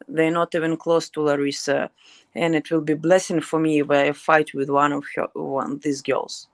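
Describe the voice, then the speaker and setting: A woman's voice: low-pitched, no echo. A young woman fighter with a Ukrainian accent, speaking blunt, broken English as a guest in a casual interview.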